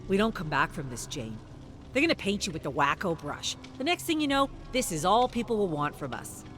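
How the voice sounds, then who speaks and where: A dismissive, stubborn TV producer, read by a game narrator with curt, clipped replies. His lines swing about in pitch, with game music clearly behind. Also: big pitch swings, brisk, a bit bright. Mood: worried. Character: stubborn male TV show producer.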